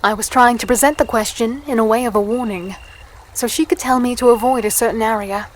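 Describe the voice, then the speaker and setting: A higher-pitched young heroine, acted by a woman telling her own dark fantasy tale. She is curious but guarded, polite and a little hesitant. Faint night ambience of crickets sits behind her voice. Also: fairly even intonation, fairly quick, slight background noise, lightly acted. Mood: introspective. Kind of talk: first-person audio drama narration and dialogue.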